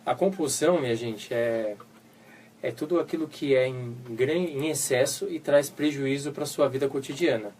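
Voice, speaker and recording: A man's voice: mid-range voice, moderate pace, a little mellow, far from the mic, a little hiss or hum. A Brazilian man co-hosting a live web radio show, recovering from a flu, explaining with everyday examples. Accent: Brazilian Portuguese. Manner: informal, explains with everyday examples and voiced asides. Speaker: co-host psychologist.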